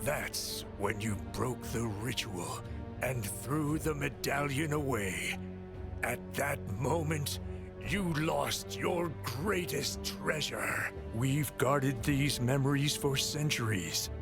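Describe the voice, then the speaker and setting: An actor playing a solemn, ancient keeper of memories in a video game, telling a tale with deliberate gravity. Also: male voice, mid-range voice, animated, vocal fry. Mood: solemn, grave. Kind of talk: video game cutscene acting. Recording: music behind.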